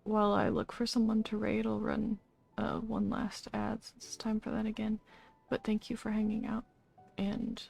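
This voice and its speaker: A woman livestreamer chatting casually while gaming, in a low-key, somewhat hesitant way. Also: gravelly, darkish tone. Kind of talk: casual game stream chat.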